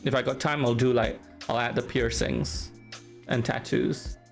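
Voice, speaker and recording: A man's voice: medium pitch, steady tone, unhurried, vocal fry, soft background music. A man from Singapore with a Singaporean English accent, chatting casually on a live stream, teasing, reading chat aloud and laughing often.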